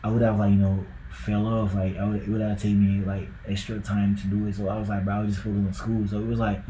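Young Ghanaian man, low easy voice. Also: level tone, fast talker, mellow, reverberant, slight background noise, rough recording. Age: young adult. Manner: loose and rambling, with many likes and bros. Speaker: podcast co-host. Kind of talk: casual podcast chat.

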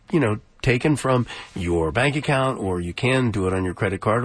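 A man's voice: a little high, dry recording. Conversational male radio host, dry studio sound. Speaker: radio pledge drive host. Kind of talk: informal on-air fundraising talk.

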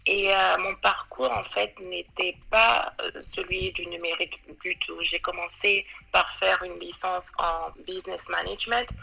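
Bright husky woman from Ivory Coast. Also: on the low side, steady tone, a little slow, hardly any pauses, low-quality recording. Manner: hesitant, many fillers and restarts. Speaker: podcast guest, web designer. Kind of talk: casual interview. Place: New York.

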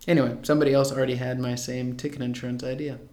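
A man's voice: medium pitch, level tone, racing, a little mellow, slight background noise. A young man co-hosting a podcast in casual chat, laid-back and matter-of-fact with dry quips. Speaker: podcast co-host. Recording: roomy echo.

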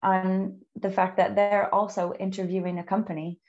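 Flat-toned woman host, dull audio. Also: medium pitch. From outside the UK. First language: English. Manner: measured, encouraging, frequent ums. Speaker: podcast host. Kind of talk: conversational interview.

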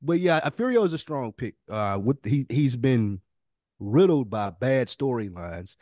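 A man's voice: mid-range voice, big pitch swings, a little slow, darkish tone, no echo, studio quality. A man co-hosting a podcast in casual banter, talking in a slangy, profane way. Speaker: podcast co-host. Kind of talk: casual fantasy-draft banter.

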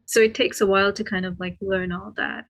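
A woman from Sri Lanka speaking as a guest in a casual podcast chat. Her voice sits at a middle pitch, and she talks informally and tentatively, checking she is being understood. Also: flat delivery, fairly quick, vocal fry.

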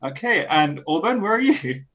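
A man hosting a podcast remotely from London, gushing and excitable, his voice slightly croaky after a coughing fit. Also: on the high side, moderate pace. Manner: excitable, gushing, laughs often. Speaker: podcast host. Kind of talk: enthusiastic podcast interviewing. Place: home studio in London. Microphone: remote recording.

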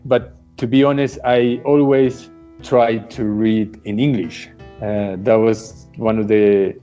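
A man from Mexico whose first language is Spanish, speaking English in a relaxed, reflective conversation with frequent pauses and fillers. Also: fairly low pitch, muffled recording, soft background music. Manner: hesitant, many uh fillers, reflective. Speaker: guest. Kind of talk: casual remote conversation.

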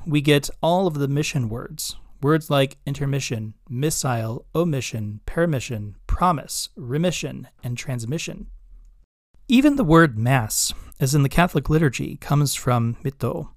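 A man hosting a podcast, reading his own written essay aloud in a measured, scholarly way with careful enunciation. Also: mid-range voice, lively intonation, no echo, studio quality. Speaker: podcast host.

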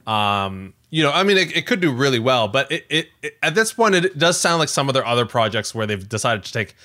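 Bright-voiced Canadian man chatting about news. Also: mid-range voice, sing-song, fairly quick. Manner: hesitant, rambling, frequent "like" and "you know". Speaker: podcast co-host. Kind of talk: casual tech news chat.

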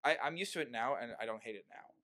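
A man's voice: medium pitch, lively intonation, fast, sharp tone. A young man hosting a podcast in casual chat, rambling and joking, often breaking off mid-sentence. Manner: rambling, self-interrupting, joking.

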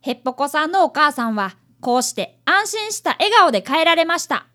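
A woman's voice: sits high, big pitch swings, fairly quick, sharp tone, full character voice. A woman playing an earnest, polite schoolboy of about eleven, an apprentice detective in a radio drama. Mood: narrating. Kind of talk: radio drama acting and narration.

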